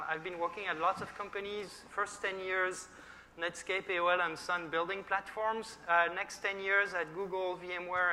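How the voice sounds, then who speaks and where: A middle-aged man with a French accent gives a chatty conference talk, asking the audience questions and filling pauses with "uh". His voice is slightly high and bright. The sound carries a long hall reverb with faint noise behind it. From France. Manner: friendly, chatty, polls the audience, many fillers. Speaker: conference speaker.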